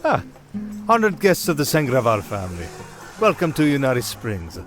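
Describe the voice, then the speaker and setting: A man's voice: medium pitch, huge pitch swings, normal speed, fairly bright tone, soft background music. A man playing a polite, obliging resort host in a fantasy audio drama, formal and servile. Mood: welcoming. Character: courteous, obliging male spa resort host. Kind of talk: scripted fantasy audio roleplay.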